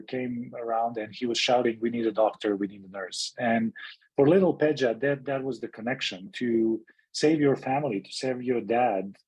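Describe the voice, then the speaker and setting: A man's voice: medium pitch, darkish tone, slightly rough, poor audio. A middle-aged man from Serbia, a physician and health activist, speaking as an interview guest in a reflective, storytelling way with frequent restarts.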